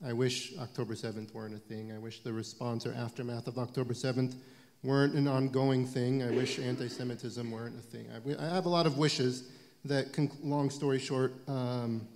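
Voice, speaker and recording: A man in his forties, speaking on a panel in a halting, thoughtful way with frequent restarts, in an event hall. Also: mid-range voice, fairly even intonation, a little mellow. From United States. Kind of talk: panel talk. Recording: long reverb of a lecture hall.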